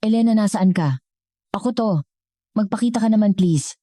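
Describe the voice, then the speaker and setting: A woman's voice: medium pitch, moderate pace, mellow, dry recording, clean studio sound, voice pushed up, close to an everyday voice. A woman voicing a worried husband who pleads insistently with his missing wife in an audio drama. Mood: pleading.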